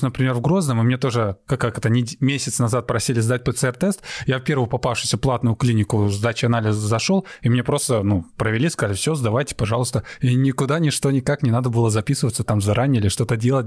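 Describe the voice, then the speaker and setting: A man's voice: mid-range voice, a little mellow, dry recording, studio quality. A man chatting casually on a podcast, questioning the host with somewhat hesitant phrasing. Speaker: podcast co-speaker asking questions. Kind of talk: casual conversation.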